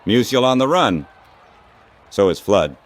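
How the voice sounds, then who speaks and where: A man calling baseball play-by-play in measured, clipped phrases, like scripted radio commentary. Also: lowish voice, very animated.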